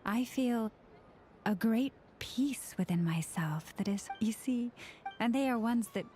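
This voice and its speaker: Soft-spoken gentle antlered girl from a video game, earnest, really unhurried, bit of music under it. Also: on the high side, animated. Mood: serene, kindly. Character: gentle, devout antlered young woman, calm and sincere.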